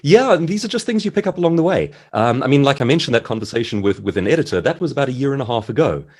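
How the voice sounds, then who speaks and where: A man's voice: mid-range voice, expressive, racing, breathy edge. A South African man giving conversational, articulate advice as a guest speaker on a video call. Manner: articulate, explanatory, acts out short quotes. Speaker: author, seminar guest speaker. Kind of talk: conversational expert advice in an online seminar.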